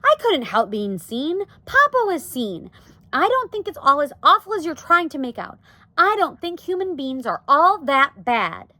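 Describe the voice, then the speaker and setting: A woman's voice: on the high side, sing-song, takes it slowly, no room sound, voice pushed up, exaggerated voice. A woman reading a storybook aloud, voicing an earnest young daughter who speaks in a defensive, pleading, plain way. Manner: protesting, plain-spoken.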